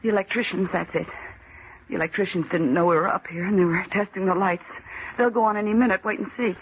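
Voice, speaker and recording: A woman's voice: mid-range voice, fairly even intonation, fast, slight background noise, lightly acted. An actress playing a brash, skeptical young office woman with an American accent, snappy and insistent as she hides her fear, in old-time radio horror style. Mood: strained reassurance. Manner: snappy, insistent, scoffing, repeats herself. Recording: muffled, narrow-band vintage radio recording.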